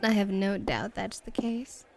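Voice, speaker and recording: A woman's voice: medium pitch, vocal fry, a little hiss or hum. A woman playing a flirty, dominant and playful hostess at a bunny club in an ASMR roleplay, teasing and drawing out her words coquettishly. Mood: flirty, fond. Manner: teasing, coquettish, drawn-out purring.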